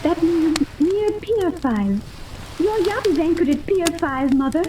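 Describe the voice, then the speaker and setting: A woman's voice: very high voice, animated, unhurried. An actress playing an earnest, formal young captive woman in a noisy 1930s radio serial. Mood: alarmed. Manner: formal, precise, explanatory. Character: Joan, earnest captive daughter on a strange island.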